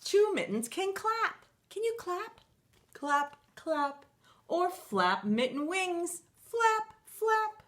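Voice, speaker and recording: A woman's voice: very high-pitched, sing-song, drawn-out words, a bit bright. A middle-aged woman reading a picture book aloud to young children in a playful, sing-song manner. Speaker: children's storytime reader.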